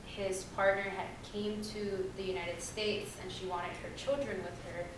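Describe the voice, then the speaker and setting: A young woman on a discussion panel talks loosely and casually, leaning on "like" and "um" as she goes. Her voice sits at an ordinary middle pitch. It is picked up from well back in a big, echoing room with a steady hum of noise behind it. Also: unhurried. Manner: loose and chatty, full of "like" and "um". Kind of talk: personal storytelling on a panel. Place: large echoey room. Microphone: distant room mic. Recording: echoey hall recorded from far off.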